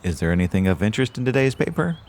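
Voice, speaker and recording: A man's voice: lowish voice, sing-song, very fast, darkish tone, slight background noise. A man playing a verbose, genteel small-town podcast host and dutiful son in an audio drama, speaking in folksy, flowery phrases. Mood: relieved, genial. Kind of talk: audio drama, mock radio-show narration.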